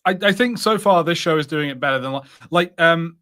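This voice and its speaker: Higher-pitched man in casual podcast chat. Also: fairly quick, sharp tone. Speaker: podcast co-host reviewing TV.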